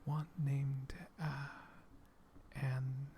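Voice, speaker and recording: A man voicing a gentle, chatty dragon who soothes a hero toward sleep, speaking in a soft whisper for roleplay ASMR. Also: mid-range voice, level tone, drawn-out words, a little hiss or hum. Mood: soothing. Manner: soft-spoken whisper with tongue clicking; chatty, slightly absent-minded rambling.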